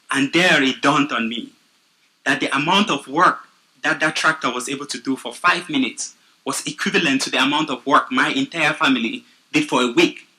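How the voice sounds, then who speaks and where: Cameroonian man, young adult, very bright tone; earnest, steady pitch speaker. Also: rough recording. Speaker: nonprofit founder pitching. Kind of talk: prepared pitch speech.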